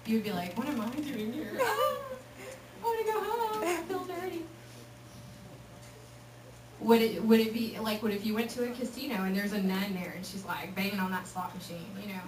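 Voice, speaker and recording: A woman's voice: fairly high pitch, moderate pace. Woman from texas joking around with teens, echoey hall, mic far off, noisy. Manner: lively, joking, acts out voices. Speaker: youth speaker. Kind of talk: casual talk to a youth group.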